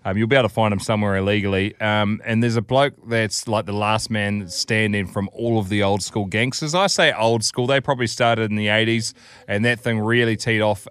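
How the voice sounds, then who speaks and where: A man's voice: fairly low pitch, brisk, a bit bright, smooth. A New man co-hosting a podcast, laid-back and jokey, swearing casually. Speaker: podcast co-host. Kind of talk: casual chat.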